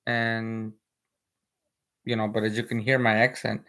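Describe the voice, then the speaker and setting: A man's voice: on the low side, little pitch movement, a little slow, a bit bright. A man with an American accent, whose first language is Spanish, chatting casually on a live stream in a rambling way, with many fillers, and reading viewer comments. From United States.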